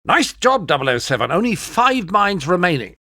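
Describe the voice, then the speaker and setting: An actor playing a fussy gadget master in a video game, prim, nagging and dryly sarcastic. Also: male voice, high-pitched, big pitch swings, moderate pace, bright, forceful tone, dry recording, studio quality, exaggerated voice. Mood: approving. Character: fussy, exasperated male gadget master advising a spy. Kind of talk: scripted video game voice acting.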